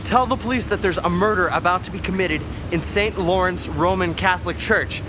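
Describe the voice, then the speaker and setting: A man's voice: high-pitched, fairly quick, background noise, natural voice. A man playing an urgent young man raising the alarm in an audio drama, commanding in manner.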